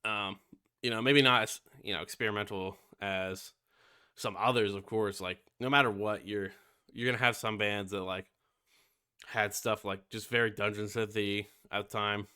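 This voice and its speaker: Young male reviewer, stuffy and nasal from a cold, low-ish voice, dry close sound, loose off-the-cuff rambling. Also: steady tone, moderate pace, bright, forceful tone, clear, resonant tone, no echo. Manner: rambling, many fillers and swearing. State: sick and stuffy. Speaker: music reviewer. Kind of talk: casual album review.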